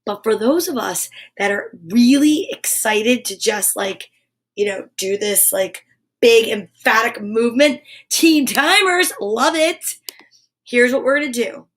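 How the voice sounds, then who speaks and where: A woman with a high voice hosts a live show for children. She talks straight to her viewers in an upbeat, chatty style. Her delivery is exuberant, emphatic and encouraging. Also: a little slow, fairly bright tone. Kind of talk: upbeat live chat to viewers, reading picture books aloud.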